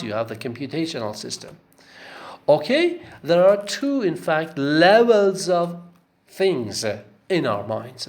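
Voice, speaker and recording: A male lecturer taking students through a course text, repeating key phrases and checking in with "okay?". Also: a little high. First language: not Arabic. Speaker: linguistics lecturer.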